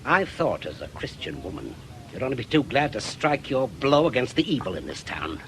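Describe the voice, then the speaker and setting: A man playing a stubborn, embittered old retired police inspector in a radio drama, gruff and insistent. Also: medium pitch, big pitch swings, moderate pace, a bit bright, a little hiss or hum. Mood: goading. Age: older.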